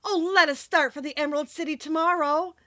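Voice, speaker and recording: A woman's voice: sits high, steady tone, brisk, sharp tone, dry recording, lo-fi sound, voice pushed up. A woman voicing an earnest, eager young girl heroine in a storybook reading.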